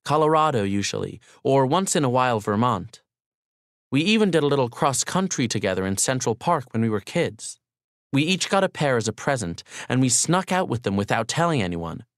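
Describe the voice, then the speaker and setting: A man voicing a gentle, wistful young woman in an audiobook, in a soft, subdued manner. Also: medium pitch, very animated, brisk, no echo, clean studio sound.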